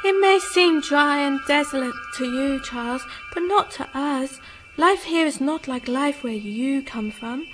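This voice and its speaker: An actress playing a serene, alluring otherworldly moon woman in a radio drama, gentle, coaxing and unhurried in manner. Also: high voice, poor audio. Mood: serene, mysterious. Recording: music behind the voice.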